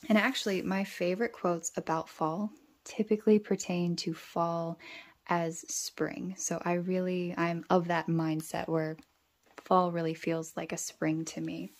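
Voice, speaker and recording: A woman casually narrating as she journals, talking in an unhurried, musing way. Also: on the low side, little pitch movement. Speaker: stationery vlogger.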